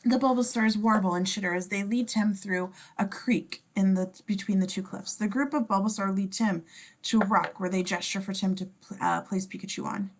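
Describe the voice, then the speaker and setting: A woman's voice: mid-range voice, fairly even intonation, moderate pace. A woman reading scene descriptions as narrator in a table read of a script.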